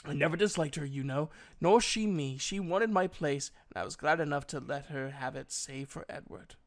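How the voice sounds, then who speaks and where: Guy reading calm royal mom, frank and measured, fantasy audiobook character. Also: on the high side, close to an everyday voice. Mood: composed. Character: calm, forthright mother of a young prince.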